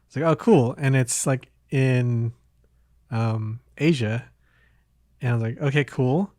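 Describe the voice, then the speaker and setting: A man with a mid-pitched voice talks casually, like a podcast host. He feels his way through thoughts aloud, rambling and hesitant, in a very dry recording with no room sound. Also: a little slow, warm tone, dry recording. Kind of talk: casual chat.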